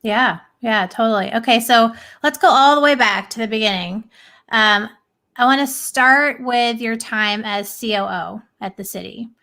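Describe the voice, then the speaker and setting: A young woman hosting an interview, asking questions in a friendly, affirming way with some hesitant pauses. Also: a little high, pushed and bright. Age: young adult. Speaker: interview host.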